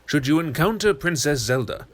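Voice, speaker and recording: A man's voice: on the high side, animated, normal speed, a bit bright. An actor playing a formal, flowery bird-man royal ambassador in a fantasy audio drama, pompous and theatrical. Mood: courtly. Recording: noisy background behind the voice.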